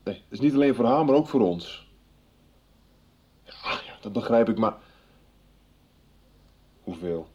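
A fed up protective boyfriend in a radio drama, soft dark voice, dry, bit muffled. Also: male voice, fairly low pitch, lively intonation, measured pace, pauses often, slightly rough. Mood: exasperated. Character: exasperated, protective male partner, drily teasing. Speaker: actor in a radio serial. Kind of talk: 1990s Dutch radio soap acting. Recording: slightly dull radio recording.

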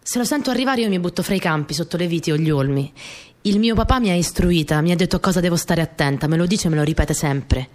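An actress playing a spirited young wartime partisan courier girl in an expressive radio theatre monologue. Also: fairly low pitch, quick pace, natural voice. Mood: earnest, confiding. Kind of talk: dramatic first-person monologue for radio theatre.

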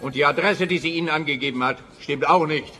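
A forceful, bright male voice ringing in a long reverb: a grumpy, weary cop in a radio play. He grumbles with long-suffering sarcasm. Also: a little high, fast talker, soft background music. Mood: weary, sardonic.

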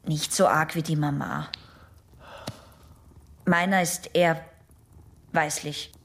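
An actress playing a young female patient in a German radio drama, halting, prim and defensive as she answers. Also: low voice, fairly even intonation, creaky, fairly bright tone, exaggerated voice. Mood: embarrassed, evasive. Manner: halting, protesting, naive and prim.

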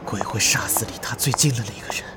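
An actor playing an anxious man trapped in a horror drama, reasoning tensely to himself in deliberate inner monologue. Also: whispered, over loud music, natural voice. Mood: tense, uneasy. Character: anxious male player trapped in a ghost task. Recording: noisy train ambience. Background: train rumble.

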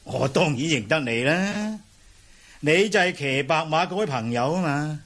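A man's voice: a little high, animated, a little slow, fairly bright tone, full character voice. A man playing an earnest young nobleman hero in a Cantonese martial-arts radio drama, theatrical and exclamatory. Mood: friendly, eager.

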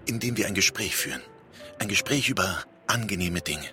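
An actor playing a pleading, excitable house guest in an absurdist radio play, theatrical and earnest. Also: male voice, low voice, normal speed, soft background music. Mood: earnest, insistent. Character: pleading, excitable male guest, frozen to a doorstep.

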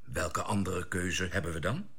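An actor playing a decisive, composed spaceship captain in a radio drama, with a husky voice and an air of command. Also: male voice, low voice, moderate pace, a bit bright, heavily acted. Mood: measured, assured. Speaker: expedition leader.

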